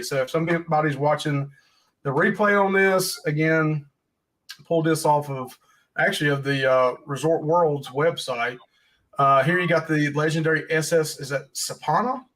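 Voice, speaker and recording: A man's voice: fairly high pitch, moderate pace, a bit bright, poor audio. A man hosting a casual livestream podcast, folksy and joking as he rambles through his notes. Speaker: podcast host.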